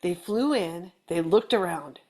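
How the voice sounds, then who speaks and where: A woman's voice: on the low side, measured pace, a little mellow. A woman reading a children's picture book aloud, playfully chatting to young listeners in little asides. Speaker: storybook reader.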